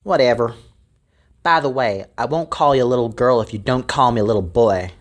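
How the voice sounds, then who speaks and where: A woman's voice: very deep voice, brisk. A woman voicing a stubborn, surly teenage boy of the Old West in an amateur audio drama. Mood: surly, defiant.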